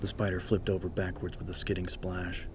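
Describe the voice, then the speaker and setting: A man's voice: sits low, flat delivery, fairly quick, background noise. A man reading a horror story as a dramatic scripted audiobook narration, with music playing behind him. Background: game-style background music.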